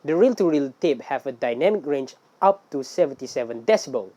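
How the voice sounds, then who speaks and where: A woman hosting a casual explainer in non-native English, talking in an animated way with rhetorical questions. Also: very deep voice, fairly quick. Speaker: video host.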